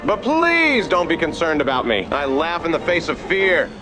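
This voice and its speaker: Male actor as self-important cartoon big shot, high voice, swooping intonation, theatrical, music behind. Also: sing-song, normal speed. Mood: showy, chatty. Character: brash, self-important man, by turns official and TV reporter. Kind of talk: animated motion comic acting.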